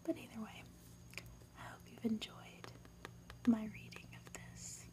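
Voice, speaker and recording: A woman whispering softly and breathily in an ASMR style, gentle and unhurried, as a reader and host. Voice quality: breathy, mostly unvoiced whisper. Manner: soft, unhurried, gentle delivery. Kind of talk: whispered ASMR, storybook reading and soft chat.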